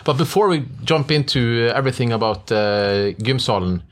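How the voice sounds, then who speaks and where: Male podcast host with a mid-pitched voice. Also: lively intonation, brisk. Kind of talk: casual interview questions.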